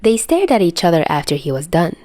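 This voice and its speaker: Woman reading fanfic, kinda low voice, really expressive, pitch goes all over. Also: fairly quick, no room sound, studio quality. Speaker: fan fiction reader. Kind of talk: expressive scripted story narration.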